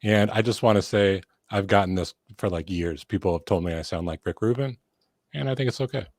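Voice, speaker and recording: A man calmly walks viewers through a stream's features in a laid-back way. His voice is very low. The recording is dry and close. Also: fairly quick, no room sound. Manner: laid-back, explaining step by step. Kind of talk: casual live-stream chat.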